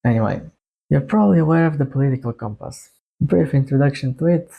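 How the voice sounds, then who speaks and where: A young man from Bulgaria with a Bulgarian accent, talking casually to his viewers in a halting, hesitant way. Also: medium pitch, vocal fry, slightly rough, phone-quality sound. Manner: halting, hesitant delivery with long phrasing breaks. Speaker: YouTuber introducing a music channel. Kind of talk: casual to-camera monologue.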